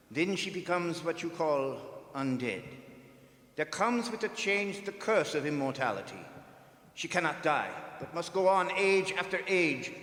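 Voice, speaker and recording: A solemn vampire hunter acted by a man commands and warns in a high-pitched, theatrical voice. The oratorical radio-play delivery echoes through a big hall with long reverb. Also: a bit bright. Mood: solemn, ominous. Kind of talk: theatrical radio drama acting. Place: performing arts center stage.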